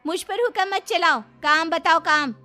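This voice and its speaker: A woman's voice: extremely high pitch, unhurried, pushed and bright, soft background music, full character voice. A woman voicing a haughty, sneering young daughter-in-law in a cartoon story, snapping with contempt. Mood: scornful.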